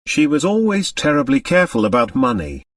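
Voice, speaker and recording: A man's voice: medium pitch, fairly quick, no echo, clean studio sound, distant mic. A man voicing a big, middle-aged storybook doctor, breezy, blustering and emphatic in his indignation. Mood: astonished. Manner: energetic, emphatic, fuming.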